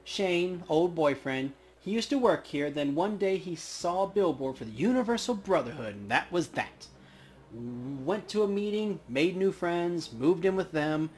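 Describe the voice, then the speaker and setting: A man's voice: fairly high pitch, lively intonation, unhurried, darkish tone, slight background noise. A man voicing a tough, jaded elf woman bartender, curt and streetwise, reading video game dialogue aloud. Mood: confiding.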